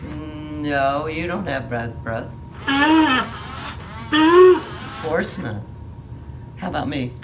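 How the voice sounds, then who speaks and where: A woman reading a children's picture book aloud as a storytime reader, with expressive delivery, dramatic pauses and drops to a whisper. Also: deep voice, heavy background noise.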